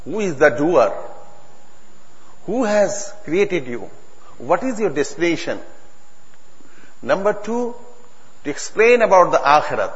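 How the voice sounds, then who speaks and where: A man's voice: on the high side, very animated. Bangladeshi man preaching in a reverberant hall. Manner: earnest, halting, emphatic, repeating phrases in non-native English. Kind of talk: religious sermon to a congregation. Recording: dull recording in a long-reverb hall.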